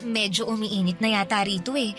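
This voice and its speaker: A woman's voice: mid-range voice, fairly even intonation, brisk, loud music behind the voice, close to an everyday voice. An actress playing a breezy, cutesy young girlfriend who meddles politely, in a Filipino radio drama. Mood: awkward, put out.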